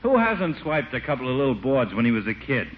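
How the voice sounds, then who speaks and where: Guy doing loudmouth folksy barber, slangy, repeats himself, old radio comedy, dull hissy sound. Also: mid-range voice, big pitch swings, brisk, natural voice. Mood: scoffing. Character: brash, opinionated small-town barber, loyal and folksy. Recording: muffled, noisy vintage radio recording.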